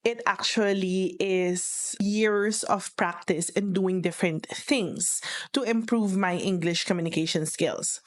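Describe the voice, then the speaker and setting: A woman's voice: medium pitch, fairly even intonation, a little slow. A young adult woman with a Filipino accent, speaking earnestly as she explains tips, often repeating her phrases. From Philippines. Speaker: virtual assistant operations manager. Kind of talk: instructional vlog talk.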